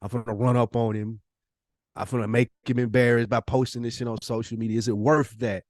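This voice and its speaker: A man's voice: medium pitch, little pitch movement, fairly quick, a little mellow, no echo, studio quality. A man hosting a podcast, talking casually and giving opinions in an emphatic, slangy way with rhetorical questions. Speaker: podcast host.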